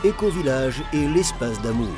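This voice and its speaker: A man delivers scripted trailer narration in the role of promo announcer. His voice sits at an average male pitch, and music plays clearly behind it. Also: animated, normal speed.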